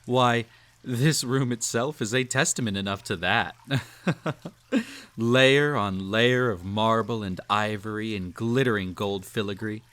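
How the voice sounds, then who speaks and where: A man's voice: lowish voice, unhurried, a bit bright. A man playing a cruel, possessive emperor in a roleplay audio drama, speaking in a formal, pompous, teasing and condescending way. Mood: grandiose, proud. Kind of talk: scripted roleplay audio drama, intimate address to listener.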